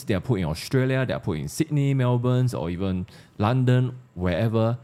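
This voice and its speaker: A man with a Malaysian accent in casual discussion, haltingly repeating words as he thinks aloud. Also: low voice, warm tone. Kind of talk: casual discussion of social issues.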